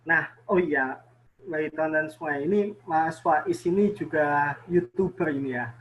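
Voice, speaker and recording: A man's voice: a little high, moderate pace, a bit bright, muffled recording. A man hosting a casual podcast interview, acknowledging his guest with frequent "oke"s and fillers. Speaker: podcast host. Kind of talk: casual interview over video call. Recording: faint background noise.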